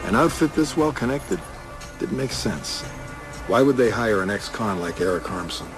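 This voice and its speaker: A man playing a dry, skeptical police detective in a hard-boiled radio crime drama, clipped and deadpan. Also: lowish voice, moderate pace, music in the background, close to an everyday voice. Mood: wry narration.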